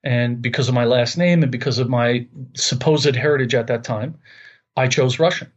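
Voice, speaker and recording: A man's voice: mid-range voice, moderate pace, lo-fi sound. A man in his fifties from Long Island with a New York accent, talking earnestly as an interview guest and often repeating words while he searches for phrasing. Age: 50s. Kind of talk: conversational interview.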